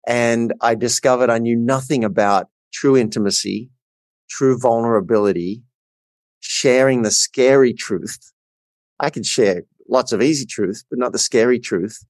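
A man's voice: medium pitch, a little slow, no room sound. A middle-aged man with an Australian accent, telling his personal story earnestly and emphatically as a coach guesting on a podcast.

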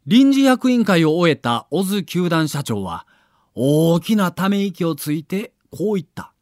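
A male narrator delivers a scripted documentary with dramatic emphasis. His pitch is high and very animated, the pace is slow, and he tells it like a storyteller. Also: no room sound, studio quality.

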